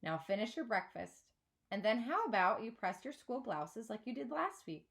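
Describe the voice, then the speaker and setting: Woman playing a fussing, animated storybook mom. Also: on the high side, normal speed, vocal fry, heavily acted. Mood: resigned, firm. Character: loving, worried mother, fussing yet firm. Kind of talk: children's storybook read-aloud.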